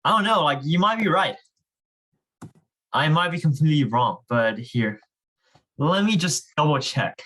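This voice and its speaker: A man's voice: a little high, expressive, a little slow, pauses often, a bit bright. Informal male online reading club leader. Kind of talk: casual online teaching. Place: online group video call.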